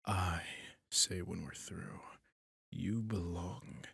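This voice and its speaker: A possessive male vampire, voiced by a man, breathes slow, commanding threats in a low, gravelly whisper. The voice is husky and close, with a dry, intimate sound for an ASMR roleplay. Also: steady tone, a bit bright, no room sound, exaggerated voice. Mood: sinister seductive.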